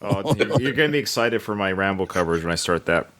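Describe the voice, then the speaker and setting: A man's voice: on the low side, animated, quick pace, fairly bright tone. A man in his forties, hosting a podcast and talking eagerly in casual chat. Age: 40s. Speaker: podcast host.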